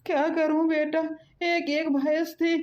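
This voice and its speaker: A woman's voice: sits high, little pitch movement, slow, a little mellow. A woman voicing a distraught village uncle in a cartoon, wailing and repeating the lament, in dull sound. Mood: grieving. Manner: wailing, repetitive.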